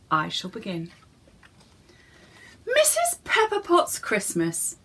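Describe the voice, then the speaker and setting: A woman's voice: high voice, sharp tone, breathy edge. A woman reading a storybook to young children in a theatrical way, addressing her listeners directly.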